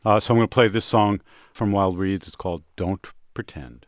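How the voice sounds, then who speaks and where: A man hosting a music show in casual conversation, speaking in a reflective, halting way with pauses mid-sentence. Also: fairly low pitch, dry recording, clean studio sound. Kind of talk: casual radio music discussion. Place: dry studio.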